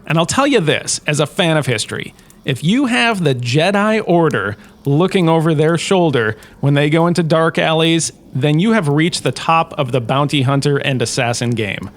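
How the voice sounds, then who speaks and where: A man's voice: a little high, lively intonation, moderate pace. A man giving a scripted history lecture as a host, casual and wry with emphatic points. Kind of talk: scripted lecture-style narration of fictional history.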